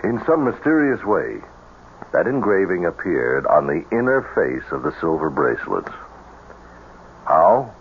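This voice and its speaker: An actor playing a gruff, brisk army colonel with formal speech in a radio drama. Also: male voice, deep voice, big pitch swings, exaggerated voice. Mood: grave, explaining. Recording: tinny, hissy old radio broadcast.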